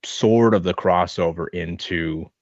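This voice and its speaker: A man in his thirties with an American accent, answering interview questions in a relaxed, thoughtful, rambling way. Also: low-pitched, measured pace, dry recording. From American Midwest. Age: 30s. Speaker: podcast guest, online fitness coach. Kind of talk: casual interview chat. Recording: dull lo-fi sound.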